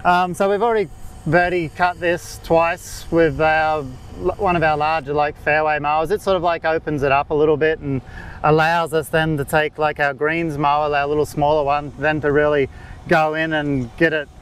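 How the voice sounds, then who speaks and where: A man, explaining golf green work in a relaxed way with many fillers, recorded outdoors on a course. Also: fairly high pitch, normal speed, a little hiss or hum. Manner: laid-back, lots of "like" fillers. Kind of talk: casual explanatory interview.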